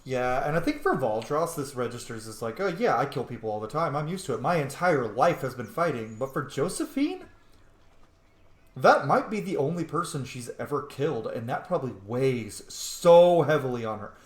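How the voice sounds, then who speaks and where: A man's voice: mid-range voice, big pitch swings, moderate pace. A man hosting a gameplay video with casual, informal, chatty commentary. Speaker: gaming video host. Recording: roomy, echoey voice track.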